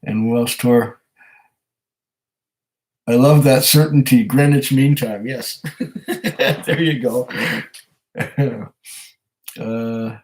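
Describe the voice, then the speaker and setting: A man with a hoarse voice from a cold, hosting a casual live stream, reading viewer comments and chatting in a rambling, hesitant way. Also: medium pitch, fairly even intonation, very slow, pauses often, darkish tone. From Canada. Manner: rambling, hesitant, chuckles often. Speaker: whiskey channel host reading live chat.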